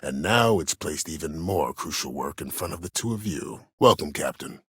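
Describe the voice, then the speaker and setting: Old war admiral, game character, bottomless bass, rough husky tone, formal measured delivery, proud, cunning, quietly menacing. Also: male voice, lively intonation, no echo, studio quality, full character voice. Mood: grave, proud, then courteous, sly. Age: older. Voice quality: husky, very deep. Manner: measured, formal, self-assured. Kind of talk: video game character dialogue.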